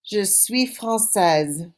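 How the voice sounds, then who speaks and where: A woman's voice: medium pitch, expressive, very slow, a little mellow. A woman teaches a beginner language lesson in a mid-range voice. She is instructive and encouraging, pronouncing model phrases with care so that learners can repeat them. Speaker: French teacher.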